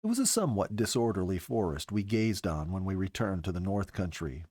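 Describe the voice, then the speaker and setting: A man reading a story as a scripted narration, in an expressive storyteller's manner. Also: low-pitched, brisk, no room sound. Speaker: storyteller, show regular.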